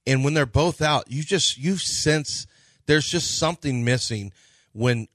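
A man's voice: mid-range voice, measured pace, a bit bright, no room sound, studio quality. A man hosting a sports talk radio show, rambling and joking, often restarting his sentences.

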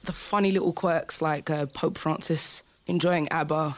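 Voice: mid-range voice, fairly even intonation, moderate pace, noisy background. An interviewer asking curious, friendly questions.

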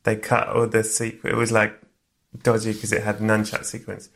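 A man's voice: on the low side, monotone, normal speed, creaky, fairly bright tone. A man hosting a podcast, chatting casually with a guest in a jokey, teasing manner. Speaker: podcast host. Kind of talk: casual interview chat.

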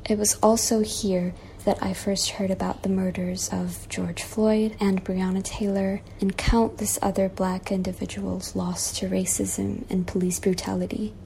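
A woman in her twenties from Malaysia, reading a personal essay aloud in an earnest, measured way with repeated refrains. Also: mid-range voice, level tone, vocal fry, warm tone, slight background noise, low-quality recording. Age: 20s. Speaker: guest essayist. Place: childhood bedroom at home.

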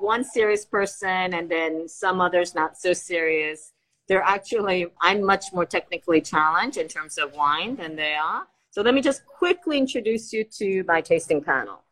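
A woman's voice: lowish voice, normal speed, fairly bright tone, no echo, poor audio. A woman hosting a casual live video chat, bubbly and teasing as she introduces people. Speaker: host.

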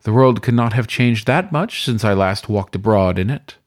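A man's voice: fairly low pitch, moderate pace, no echo, clean studio sound, lightly acted. A man voicing a suave, teasing weasel master of the house in an audiobook, with formal, old-fashioned, courtly phrasing. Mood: playful, teasing. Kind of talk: audiobook character voice.

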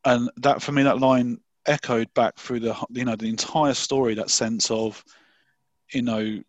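A man in the interviewer's seat who talks in a thoughtful, measured way. His voice has middling pitch. The sound is dry and close, with no room echo. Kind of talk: podcast interview.

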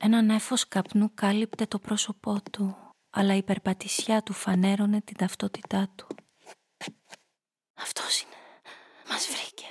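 An actress playing a hard-boiled femme fatale who narrates her downfall in a radio drama, in a measured, confessional way. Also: mid-range voice, flat delivery, husky, lightly acted. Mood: dark, haunted.

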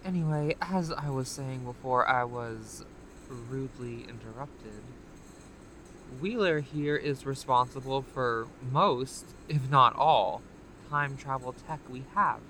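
An actress playing a smug, taunting corporate executive villain from a time-travel audio drama, theatrical and condescending. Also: female voice, rumbling low, takes it slowly, fairly bright tone, slight background noise, heavily acted, low-quality recording. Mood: sly, persuasive.

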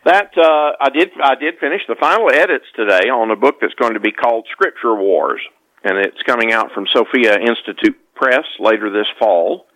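A man speaking as an author in a casual interview, halting and often restarting his words. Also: mid-range voice, animated, fairly bright tone, poor audio. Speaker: author, podcast guest.

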